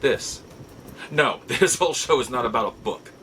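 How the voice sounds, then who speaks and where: A middle-aged man hosting a show, telling nostalgic stories casually in a halting, reflective way with emphatic repeats. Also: medium pitch, expressive, pushed and bright, slight background noise. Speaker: show host. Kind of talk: casual nostalgic storytelling to viewers.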